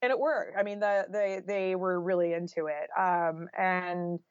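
A woman's voice: lowish voice, fairly even intonation, unhurried, clear, resonant tone, dry recording. A woman speaks as an author being interviewed, casual yet thoughtful. Her talk is conversational and sprinkled with filler phrases like "you know". The recording is dry and somewhat dull. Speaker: interview guest, nonfiction author.

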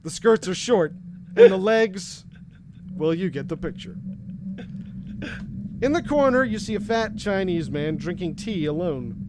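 A man with a fairly high voice narrates a noir adventure to his players as game master. He speaks slowly and deadpan, with a wry edge. A faint noise sits under the recording. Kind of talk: second-person noir narration.

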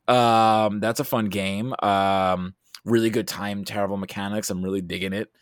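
Low-voiced man, rambling casual chat. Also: steady tone, moderate pace, smooth, no room sound, clean studio sound. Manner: rambling, self-deprecating. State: tired. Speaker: podcast guest, writer and creative producer.

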